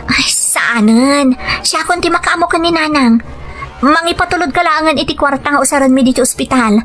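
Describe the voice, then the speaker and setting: A woman acts out a deceitful gambling wife with an Ilocano accent in an audio drama. Her voice is on the high side, coaxing and dramatic, over background music. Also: normal speed, fairly bright tone. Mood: feigned worry, coaxing. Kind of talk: radio-style drama acting.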